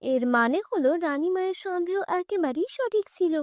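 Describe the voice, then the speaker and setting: A woman's voice: very high voice, lively intonation, moderate pace, a little mellow, dry recording, studio quality. A woman voicing a righteous, solemn fairy in a cartoon fairy tale. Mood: kindly. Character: righteous female fairy, kindly to good, stern with wrongdoers.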